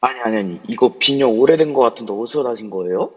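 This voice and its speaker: A man's voice: mid-range voice, expressive, fast, darkish tone, husky. A man as a fussy, polite young traveler. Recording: muffled, reverberant voice chat audio.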